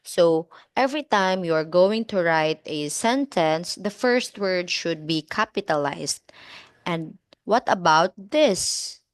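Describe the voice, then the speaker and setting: Slow, patient woman teacher. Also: on the low side, animated, no echo. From Philippines. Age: 20s. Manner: patient, encouraging, explains step by step. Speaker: online English teacher. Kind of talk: one-on-one online English lesson. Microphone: video call.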